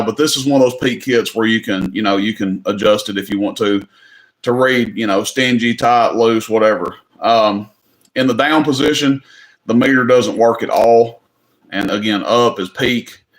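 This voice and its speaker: A man hosts a live-stream auction from home, keeping it loose and casual. His voice sits at an ordinary middle pitch, and he rambles easily and circles back over the same points. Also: fairly bright tone. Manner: easygoing, rambling, repeats himself. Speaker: livestream auction host. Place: indoors at home.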